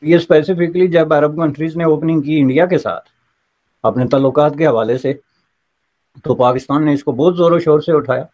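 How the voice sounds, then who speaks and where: A man's voice: on the high side, moderate pace, darkish tone. A man giving news analysis as a commentator. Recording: dull video-call audio.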